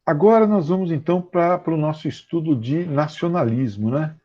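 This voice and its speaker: Husky Brazilian man teaching history online. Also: on the high side, a little mellow. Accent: Brazilian Portuguese. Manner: explains with many fillers and repeats key terms slowly. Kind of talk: online class lecture. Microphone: computer mic on a video call. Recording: muffled, slightly dull video call audio.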